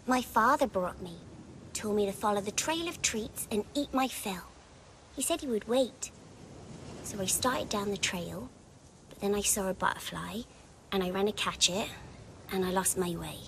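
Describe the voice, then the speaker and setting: A woman's voice: mid-range voice, normal speed, vocal fry, a bit bright, slight background noise, poor audio. A small, innocent peasant girl in a fantasy game. She talks in naive, earnest, rustic phrases, with faint ambience behind. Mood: innocent, matter-of-fact.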